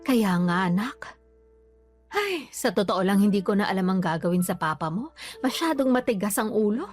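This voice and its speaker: An actress with a Filipino accent playing a worried, forgiving middle-aged mother in a radio drama, pleading and gently reproving. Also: mid-range voice, expressive, moderate pace, soft background music, close to an everyday voice. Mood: helpless.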